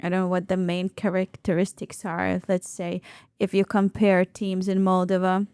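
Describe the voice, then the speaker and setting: Smooth-voiced woman interviewer. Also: lowish voice, completely flat delivery, moderate pace, a little mellow, no room sound. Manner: supportive, short encouraging prompts. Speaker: interviewer, host. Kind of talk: casual interview.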